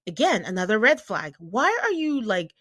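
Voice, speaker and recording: A chatty woman co-hosting a podcast in casual conversation, her English carrying traces of another first language. She talks in a middle pitch, tossing in fillers and quick laughs. The sound is close and dry, with no room around it. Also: sing-song, a bit bright, no room sound. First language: not English. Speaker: podcast co-host. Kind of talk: casual chat about a reality show.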